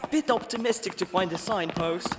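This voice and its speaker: A woman playing a determined, headstrong, sharp-witted fighter in an audio drama, with dry, quick retorts. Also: fairly low pitch, background noise, close to an everyday voice. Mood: brisk, dry. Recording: loud music under the voice.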